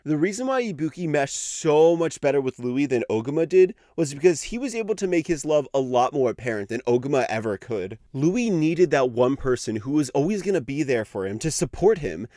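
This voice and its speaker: A man's voice: fairly high pitch, a little mellow, dry recording, studio quality. A man giving scripted but conversational video commentary on an anime, with an informal, emphatic way of talking. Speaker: video essayist.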